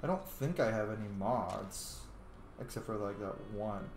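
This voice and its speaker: A man's voice: lowish voice, normal speed, slight background noise. A man hosting a let's play with casual, informal, exclamatory commentary. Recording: very echoey room, game music underneath. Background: loud game music.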